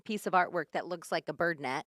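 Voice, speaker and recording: A woman's voice: on the low side, fairly quick, fairly bright tone, no room sound, studio quality. An American woman co-hosting a talk show with casual, conversational banter.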